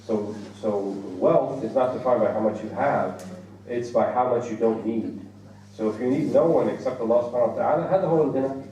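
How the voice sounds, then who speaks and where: A man's voice: medium pitch, fast talker, dark tone, dull audio. An American man giving a religious lecture, explaining Arabic terms with vivid everyday examples, recorded from a distance in an echoey hall. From United States. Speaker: Islamic lecturer. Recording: echoey hall, distant mic, faint noise.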